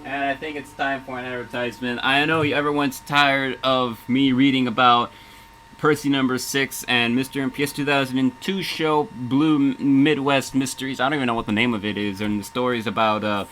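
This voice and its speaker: A man's voice: mid-range voice, steady tone, moderate pace, a bit bright, low-quality recording. A man hosting a music radio show in casual DJ patter, rambling, joking and self-deprecating. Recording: music playing faintly underneath. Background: faint music.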